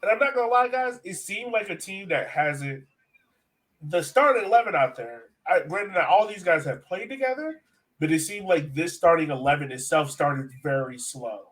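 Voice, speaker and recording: A man hosting a sports podcast in a casual, animated, slangy and joking way. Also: high voice, normal speed. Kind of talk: casual chat.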